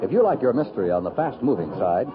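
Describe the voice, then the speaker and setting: A man's voice: mid-range voice, sing-song, fast, dull audio, crowd noise. An American man announcing from a script in the polished, promotional style of 1950s radio. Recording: thin 1950s radio recording. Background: music.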